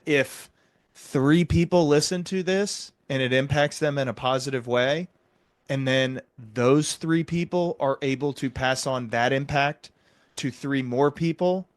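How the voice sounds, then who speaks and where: A man's voice: mid-range voice, unhurried, no room sound. A man hosting a podcast interview, talking in a reflective, explanatory and encouraging way.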